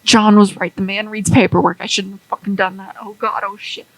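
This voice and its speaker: A woman voicing a cowardly shipyard boss who mutters and swears in a hushed, frantic voice. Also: medium pitch, level tone, a little fast, no room sound, close to an everyday voice. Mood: panicked. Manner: hushed, frantic, swearing under his breath.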